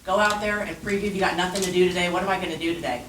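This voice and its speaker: A woman with a loud voice giving emphatic, energetic advice as a panelist. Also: on the low side, very fast, a little hiss or hum. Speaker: real estate panelist. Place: meeting room. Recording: echoey room from a distance.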